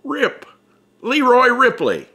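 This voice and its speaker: Man performing hearty working-class guy, folksy, eager, friendly, raised pitch, very animated, audiobook dialogue. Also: slow pace, full character voice. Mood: puzzled, surprised. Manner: folksy, eager, plain-spoken.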